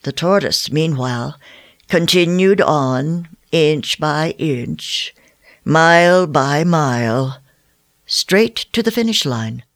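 A storyteller narrating a children's fable from a script in an expressive way. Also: mid-range voice, slow pace.

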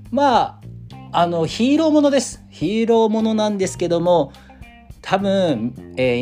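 A man's voice: a little high, darkish tone. A man speaking as a circle leader, making an informal, conversational announcement and thinking aloud as he goes. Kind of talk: informal spoken promo. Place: at home. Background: faint guitar music.

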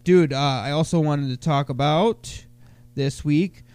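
A young man co-hosting a casual podcast, stuffy from a cold, talking in loud, crude, slangy banter. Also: fairly high pitch, unhurried, clear, resonant tone, no room sound, soft background music. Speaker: podcast co-host.

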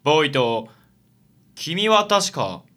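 A man's voice: a little high, sing-song, slow pace, fairly bright tone, full character voice. A man playing a suave, self-satisfied handsome detective with an affected, condescending manner in a radio drama. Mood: breezy, smug.

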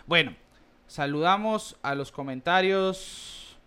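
A chatty man co-hosts a relaxed baseball podcast. His voice sits a touch higher than most men's as he reads out listener comments and tosses in quick asides. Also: clear, resonant tone. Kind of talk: casual baseball podcast chat.

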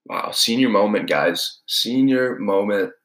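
Young guy, deep low voice, rambly podcast storytelling, tons of likes and you knows. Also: animated, a little slow, fairly bright tone, low-quality recording. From New England. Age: young adult. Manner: rambling, heavy use of filler words. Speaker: podcast host. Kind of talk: casual solo storytelling.

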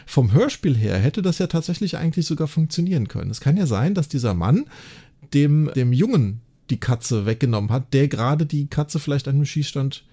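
A man's voice: on the high side, lively intonation, a little fast, mellow, breathy edge. A man in casual conversation as a podcast co-host, analysing an audio drama and retelling scenes in detail.

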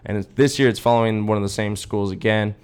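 A man's voice: fairly low pitch, fairly even intonation, quick pace, a little mellow, smooth. A young American man co-hosting a podcast, chatting casually in an informal, slangy way. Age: young adult. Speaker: podcast co-host. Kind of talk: casual chat.

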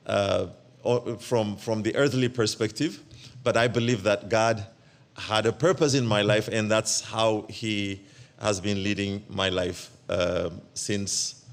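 A man from Ethiopia, preaching as a guest in church, halting and full of fillers and restarts. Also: on the low side. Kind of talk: church sermon.

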